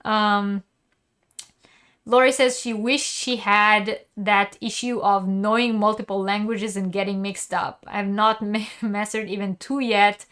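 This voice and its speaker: Woman, Hebrew speaker, slow steady delivery, teaching yarn basics, reads viewer chat. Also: mid-range voice, clean tone. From Israel. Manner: explanatory, many ums and uhs. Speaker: crochet teacher hosting a live stream. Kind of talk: live-stream tutorial, reading chat.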